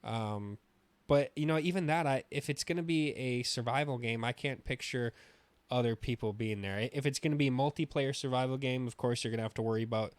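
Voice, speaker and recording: Male gaming podcast co-host, casual and opinionated, loose with swearing, average pitch, very dry sound. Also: brisk, smooth, dry recording.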